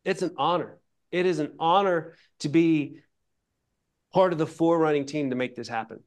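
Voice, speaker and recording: Fervent middle-aged man, high-pitched appeal. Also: normal speed. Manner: fervent, emphatic, preacher-like repetition. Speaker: presenter of a charity project. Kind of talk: impassioned fundraising appeal. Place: indoor room with paintings and a plant. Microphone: lapel mic.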